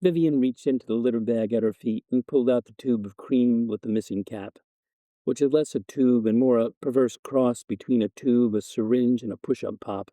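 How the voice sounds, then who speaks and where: A man narrating a first-person short story in a measured, wry literary reading style. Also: medium pitch, dark tone, dry recording.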